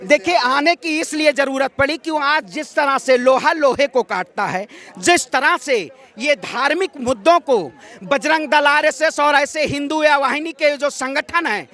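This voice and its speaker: Fiery, very high-pitched male protester. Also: measured pace, bright, forceful tone. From Ayodhya, north India. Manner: combative, declamatory, rhetorical questions. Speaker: protester, activist. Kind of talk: impassioned street interview, political speech. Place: outdoor street protest site.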